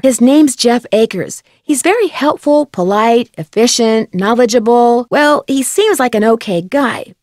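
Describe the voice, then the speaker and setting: Casting: actress as friendly young female detective for an adventure game; higher-pitched, polite, inquisitive, conversational, dry close sound. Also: darkish tone, dry recording, close to an everyday voice. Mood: confiding, conversational. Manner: polite, inquisitive, clearly spoken. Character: friendly, curious young woman amateur sleuth. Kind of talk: video game dialogue, conversational.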